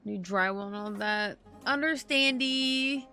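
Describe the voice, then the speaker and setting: A woman's voice: medium pitch, slow, bright, forceful tone. A woman chatting casually and slangily on a game livestream. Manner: slangy, informal. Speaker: game streamer. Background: game music.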